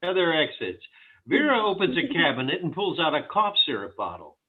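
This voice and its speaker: A man's voice: fairly high pitch, big pitch swings, brisk, slightly rough. A man reading stage directions aloud as scripted narration for a table read. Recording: thin, phone-like audio.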